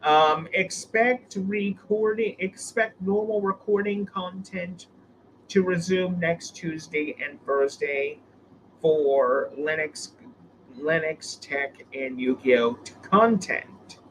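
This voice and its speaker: Hoarse man hosting a tech stream. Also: a little high, takes it slowly, a bit bright, slight background noise, low-quality recording. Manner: rambling, with restarts and fillers. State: hoarse from illness. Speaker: live stream host. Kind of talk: casual live stream chat.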